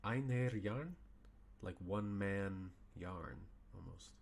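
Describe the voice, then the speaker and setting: Low, gravelly man thinking aloud. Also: takes it slowly. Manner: thinking aloud, puzzled muttering to himself. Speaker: game streamer. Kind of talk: casual gameplay commentary. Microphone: desk mic with webcam.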